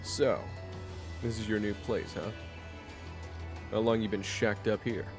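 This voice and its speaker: A man playing a gruff, hot-headed and easily flustered monster fighter who mocks and blusters in an audio roleplay. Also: fairly low pitch, fairly even intonation, measured pace, music in the background. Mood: mocking.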